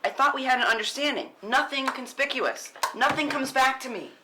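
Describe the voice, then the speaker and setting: A woman's voice: high-pitched, a little fast, close to an everyday voice. A woman playing an anxious, angry, sweary working mother at a film script table read, in a noisy live recording. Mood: bitter, scolding. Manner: tense, exasperated, sweary. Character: frantic, foul-mouthed working mother and wife, angry, anxious.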